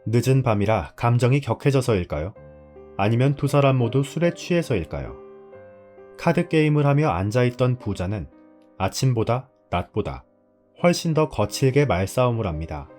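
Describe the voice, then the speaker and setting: A man's voice: medium pitch, big pitch swings, normal speed, darkish tone. A man narrating a drama's story in a scripted storytelling style. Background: background music.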